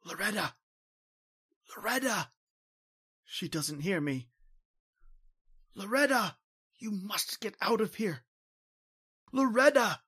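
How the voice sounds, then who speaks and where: An actor playing a tormented, invisible male spirit in an old-time radio horror play, with dramatic, anguished, halting delivery. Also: high-pitched, animated, bright, forceful tone, breathy edge, exaggerated voice. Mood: urgent, pleading. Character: tormented invisible male spirit wandering his haunted house.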